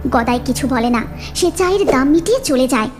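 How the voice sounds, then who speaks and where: A woman's voice: high-pitched, lively intonation, a little fast, music in the background. A woman narrating a scripted cartoon story in a dramatic storytelling manner.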